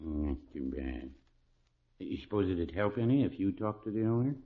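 Droll older pharmacist, lilting pitch. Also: male voice, moderate pace. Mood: deadpan advising. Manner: dry, folksy, deadpan. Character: mild, droll, fussy older small-town pharmacist. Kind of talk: 1950s radio sitcom acting. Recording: dull old radio recording.

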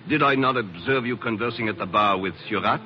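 An actor playing a suave, guarded colonial gentleman, speaking with formal politeness in 1940s radio drama. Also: lowish voice, brisk, soft background music, close to an everyday voice. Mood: smooth, probing. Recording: muffled vintage radio recording.

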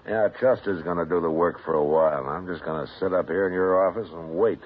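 An actor in a 1950s radio western playing a calm, commanding frontier marshal with a level, unhurried delivery. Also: male voice, low voice, breathy edge. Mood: steady. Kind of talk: 1950s radio drama acting. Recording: tinny old radio broadcast.

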